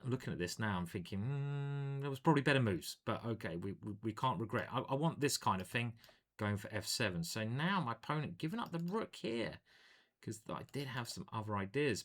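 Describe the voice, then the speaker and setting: Man, chess commentary, chatty, playful, mid-pitched. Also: fairly bright tone. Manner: playful and chatty, hums and sings little jingles. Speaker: chess grandmaster commentating his games. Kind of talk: casual game commentary.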